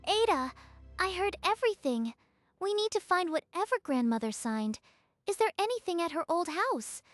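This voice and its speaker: A high, youthful female voice from an actress in a romantic manga dub, gentle and supportive. She speaks with earnest concern over clearly audible music. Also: sing-song, moderate pace, no room sound, clean studio sound. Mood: urgent. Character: Akane, gentle supportive young woman, bakery companion. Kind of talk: dramatic manga dub dialogue. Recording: music behind the voice.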